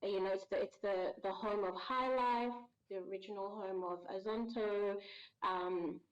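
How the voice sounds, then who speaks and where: A woman being interviewed as a voice actor, chatty and quick to laugh, with lots of ums. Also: mid-range voice, fairly even intonation, dull audio. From Australia. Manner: warm, chatty, laughs easily, many ums. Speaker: guest voice actor. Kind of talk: casual interview chat.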